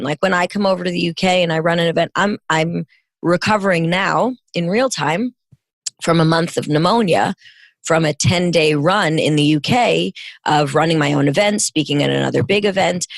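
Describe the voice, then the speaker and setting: Raspy, hoarse New York woman. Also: on the low side, dry recording. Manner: emphatic, expansive and outgoing. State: hoarse from illness. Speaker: podcast guest. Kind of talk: casual interview chat.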